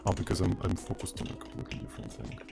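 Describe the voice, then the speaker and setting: A man's voice: rapid-fire, noisy background. A man, mumbling offhand and swearing casually as he chats with viewers on a live coding stream. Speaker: streamer and programmer.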